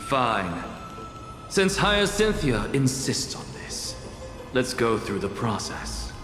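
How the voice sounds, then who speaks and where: Casting: pompous, exacting game professor; unhurried, withering delivery in a reverberant space, music underneath. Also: male voice, mid-range voice, big pitch swings. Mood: brusque, dismissive. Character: haughty, exacting male professor and renowned scholar. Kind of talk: dramatic video game voice acting. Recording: echoey long reverb with music behind.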